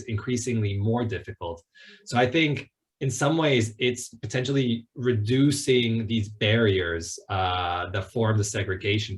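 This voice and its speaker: Low-voiced man in a panel discussion. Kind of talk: thoughtful webinar panel discussion. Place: online video call.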